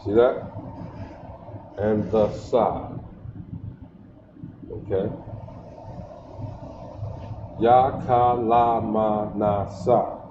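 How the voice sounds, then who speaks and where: A man speaks at a slow, measured pace as he drills letter sounds and keeps checking "you see that?" His voice sits in the middle range, and the dull livestream audio carries a lot of echo and steady background noise. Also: lowish voice. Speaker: teacher.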